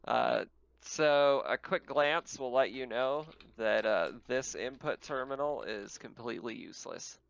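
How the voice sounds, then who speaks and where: Male game master, casual and chuckly, clean smooth tone, dry close room, slightly muffled lo-fi online sound. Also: mid-range voice, a little slow, no echo. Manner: chuckles often while talking. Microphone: online voice call.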